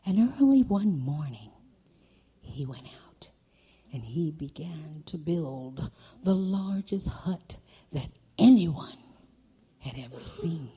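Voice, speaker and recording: A woman's voice: deep voice, expressive, slow pace, warm tone, breathy edge. A woman telling folk tales to an audience, dramatic, dropping into whispers for effect. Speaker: storyteller. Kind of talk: folk tale storytelling performance.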